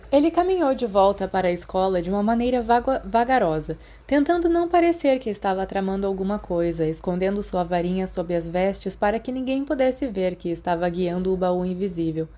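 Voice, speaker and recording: Audiobook narrator, female, Brazilian accent, medium pitch, soft warm tone, scripted story reading. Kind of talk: scripted narration of fan fiction.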